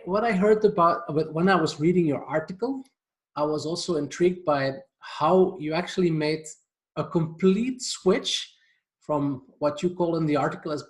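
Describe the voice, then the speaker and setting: A man hosting an interview, asking friendly, chatty questions with some fillers. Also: fairly high pitch. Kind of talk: conversational interview.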